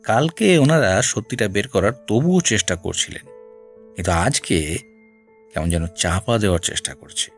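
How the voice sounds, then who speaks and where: An actor playing a shrewd, persistent senior detective in an audio drama, questioning in a deliberate, probing way. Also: male voice, lowish voice, lively intonation. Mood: reflective. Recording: music bed behind voice.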